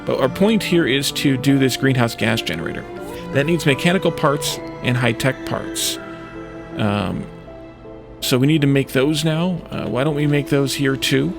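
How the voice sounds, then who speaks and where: A man with a creaky, gravelly voice at a middling pitch narrates his own gameplay. He thinks out loud in short, halting phrases, muttering to himself as he decides each step. Music is clearly audible behind him. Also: lively intonation. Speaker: let's play host. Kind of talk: gaming commentary, thinking aloud while playing.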